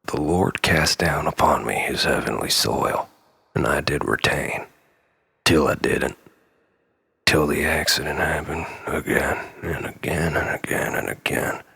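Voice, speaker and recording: An actor playing a troubled, obsessive man pleading with his lost lover in a halting, rambling dramatic monologue, often dropping near a whisper. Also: very deep voice, creaky, bright, forceful tone, slightly rough, exaggerated voice. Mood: bitter, resigned. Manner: halting, rambling, nervous self-corrections and sighs.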